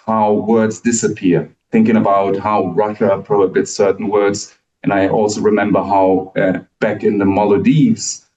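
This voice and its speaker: A man's voice: lowish voice, mellow. Man questioning from far in an echoey room. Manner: hesitant, thoughtful. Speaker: audience member asking a question. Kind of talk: asking a question after a lecture.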